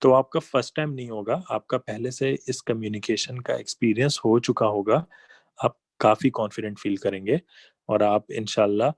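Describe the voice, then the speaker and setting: A man talks through a business lesson over slides. His tone is conversational, moving from examples to asides as he explains each point. The voice is mid-pitched and recorded close and dry. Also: moderate pace, no room sound. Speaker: online business trainer.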